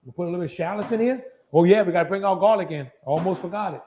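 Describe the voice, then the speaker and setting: A man's voice: high voice, rapid-fire, dark tone, slight background noise. A man hosts a casual live cooking show. He talks in a folksy, chatty way, with plenty of down-home phrasing, as if to regulars.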